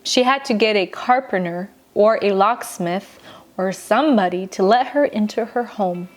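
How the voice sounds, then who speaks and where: A woman reading a children's bedtime story in an expressive, dramatic way with deliberate, emphatic pauses. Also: medium pitch. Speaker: storyteller, show host. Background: music.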